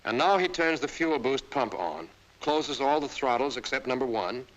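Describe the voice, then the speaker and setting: A man's voice: mid-range voice, expressive, a bit bright, low-quality recording. Man narrating a military training film. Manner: brisk, direct, addresses the listener as "you". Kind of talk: scripted instructional narration.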